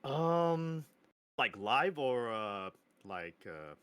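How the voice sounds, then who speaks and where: A man's voice: mid-range voice, big pitch swings, very slow, a bit bright, smooth, dry recording. A man in his thirties, a podcast guest chatting casually, hesitant and laughing often. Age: 30s. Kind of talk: casual chat.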